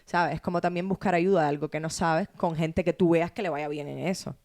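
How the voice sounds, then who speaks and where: A woman's voice: deep voice, steady tone, a little fast, darkish tone. A woman from Cuba with a Cuban Spanish accent, hosting a casual interview and affirming her guests with enthusiastic praise. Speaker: host.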